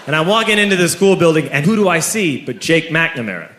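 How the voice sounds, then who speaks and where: A man telling a long story as a stand-up comedian, talking casually and building to shouted punchlines in front of a live audience. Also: fairly high pitch, sing-song, fast, a little hiss or hum. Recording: echoey club with audience laughter.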